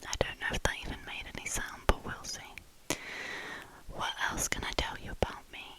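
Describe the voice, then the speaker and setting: A woman in her twenties with a southern English accent, softly whispering trigger words and chatting as an ASMR creator. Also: a little fast, rough recording. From southern England. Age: 20s. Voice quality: soft whisper throughout.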